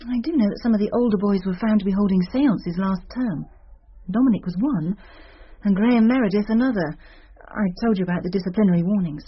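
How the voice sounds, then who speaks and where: An actress playing a knowing schoolteacher in a radio drama, speaking in a confiding, conspiratorial manner. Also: female voice, mid-range voice, a little fast, breathy edge, close to an everyday voice. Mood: confiding, uneasy. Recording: muffled, lo-fi old radio recording.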